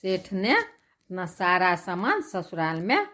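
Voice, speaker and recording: A woman narrating a folk tale as storyteller, halting and repeating phrases as she goes. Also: lowish voice, very animated, fairly bright tone.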